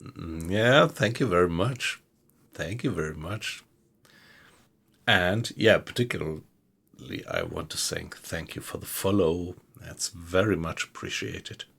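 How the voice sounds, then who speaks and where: Slow, gravelly German man streaming a game. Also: sits low, fairly bright tone. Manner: slow, hesitant, chatty with viewers. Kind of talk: casual live-stream commentary. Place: home room at night.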